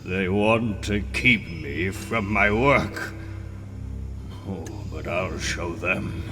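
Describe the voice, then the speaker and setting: A man's voice: low voice, extremely slow, voice pushed down, heavily acted. A man voicing an arrogant, bitter mad scientist villain in a dramatic comic book reading, grandiose and gloating. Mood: bitter, scheming. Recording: loud music under the voice. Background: music.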